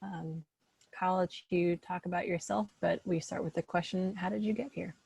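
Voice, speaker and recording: A woman hosting a podcast in a casual interviewing style, with a husky, creaky voice. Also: on the low side, fairly even intonation, a little fast, darkish tone, no room sound. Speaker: podcast host.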